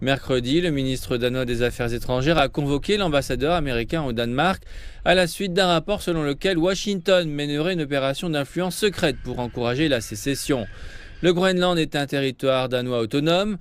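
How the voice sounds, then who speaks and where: A man narrating a scripted news report in a steady, measured way. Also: fairly high pitch, clean tone.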